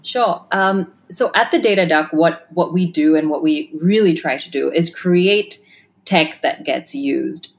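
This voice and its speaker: A woman's voice: low-pitched, a little slow. A woman who grew up in Kenya and Australia, founder of a tech design firm, answering interview questions thoughtfully with many fillers and restarts.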